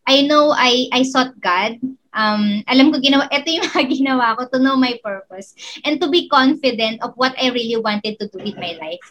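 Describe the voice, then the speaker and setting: Filipino woman in her thirties, podcast guest, high voice, earnest and talkative. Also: fairly quick, a bit bright, dull audio. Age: 30s. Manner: earnest, mixes English and Tagalog. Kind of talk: casual podcast chat.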